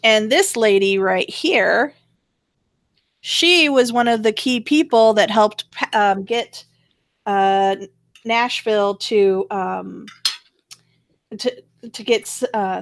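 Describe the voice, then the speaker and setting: A woman hosting a casual talk show, chatting in a halting, wandering way. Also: mid-range voice. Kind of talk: casual conversational commentary.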